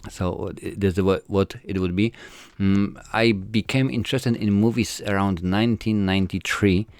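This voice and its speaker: A man's voice: fairly low pitch, fairly even intonation, normal speed, dry recording. A man in his forties from Poland with a Polish accent, a filmmaker answering interview questions in a hesitant way, with many fillers and restarts. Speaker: filmmaker guest.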